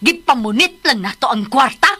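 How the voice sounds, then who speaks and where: A woman's voice: on the high side, animated, moderate pace, pushed and bright, breathy edge, exaggerated voice. A woman playing a suspicious, domineering mother of a grown son in a radio drama, exclamatory and with a Filipino accent. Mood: indignant, alarmed. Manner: exclamatory, indignant, switches into English. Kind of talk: emotional radio drama acting. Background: background music.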